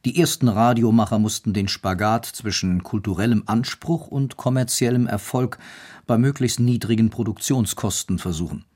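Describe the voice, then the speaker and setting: A man narrates a radio documentary from a prepared script. His voice sits on the low side, and he delivers it as scripted narration. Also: moderate pace, darkish tone.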